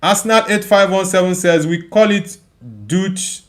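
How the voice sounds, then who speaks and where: Higher-pitched man hosting a casual livestream. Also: fairly even intonation, a little fast. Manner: emphatic, repeats phrases as he thinks aloud. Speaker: livestream host. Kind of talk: casual live chat, reading viewer comments aloud and replying.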